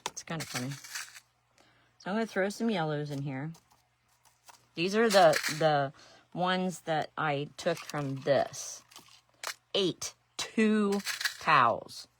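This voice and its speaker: A woman's voice: low voice, animated, slow, long pauses, a little hiss or hum. A middle-aged woman from Ohio with an Ohio accent, chatting casually and rambling as she works on a craft during a live stream. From Ohio, USA. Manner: chatty, rambling, self-deprecating asides. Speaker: resin artist and painting teacher.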